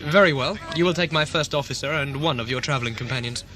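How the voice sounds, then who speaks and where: A man's voice: mid-range voice, fast talker, sharp tone, natural voice. A man playing a stern, calculating corporate starship commander in an audio drama, formal, clipped and authoritative. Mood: commanding, calculating.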